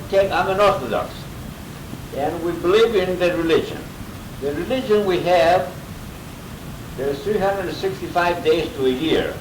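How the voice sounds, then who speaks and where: An older man in his eighties, from Greece, recalls his early life in a rambling way, chuckling between memories. His voice sits a bit high for a man and is heard from well off the mic. The room rings, and a steady hiss runs under the home tape. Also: roomy sound, far from the mic. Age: 80s. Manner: chuckling, rambling storyteller with restarts. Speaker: interviewee, grandfather. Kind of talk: casual oral-history interview.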